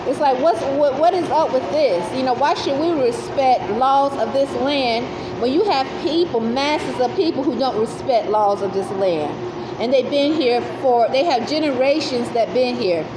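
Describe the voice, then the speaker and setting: A woman's voice: sits high, normal speed, reverberant, noisy background. A woman talking in a casual monologue, searching for words with many fillers and restarts. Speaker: speaker explaining beliefs. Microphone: phone.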